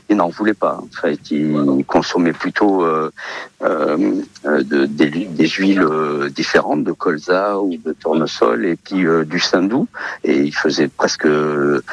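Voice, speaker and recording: A man's voice: deep voice, muffled recording. A man in his fifties from Portugal, a radio interview guest who talks casually with many hesitations and fillers. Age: 50s. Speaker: interview guest, olive oil seller.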